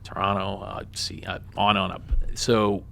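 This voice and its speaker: A man's voice: low voice, flat delivery, normal speed, creaky, bright, forceful tone. A man who works as a reporter, joking and loose in a radio interview, talking with many fillers. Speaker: guest, reporter for an online publication.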